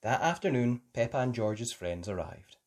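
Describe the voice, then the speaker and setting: A woman reading a children's picture book aloud in a lively, sing-song storytelling way. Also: a bass voice, moderate pace. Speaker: storybook reader.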